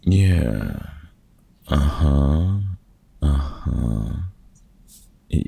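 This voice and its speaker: A man playing a gruff, wry older vampire hunter in an ASMR roleplay, a fatherly mentor with a very gravelly, husky voice and dry, teasing delivery. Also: rumbling low, animated, extremely slow, mellow, no room sound, exaggerated voice. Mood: wry, supportive. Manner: dry, teasing, hesitant with unfamiliar slang. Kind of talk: ASMR roleplay audio drama, one-sided conversation.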